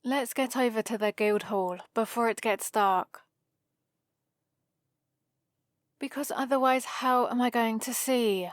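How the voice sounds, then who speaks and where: A woman's voice: a little high, moderate pace, no echo, studio quality, natural voice. A woman playing a prim, bossy and exasperated vampire librarian in a scripted ASMR roleplay, scolding in a fussy, theatrical way. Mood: grudging, impatient.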